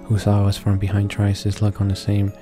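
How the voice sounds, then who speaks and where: A man doing a casual read-along of a visual novel, reading haltingly, stumbling over words and correcting himself, with asides of his own. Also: fairly low pitch, monotone, over music. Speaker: gaming video creator reading a visual novel aloud.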